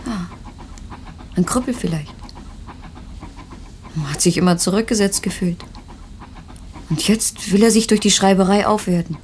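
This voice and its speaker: A woman's voice: mid-range voice, measured pace, pauses often, a little mellow, a little hiss or hum, lightly acted. An actress playing a young, inquisitive, opinionated literary woman, self-assured and probing, in a naturalistic radio play.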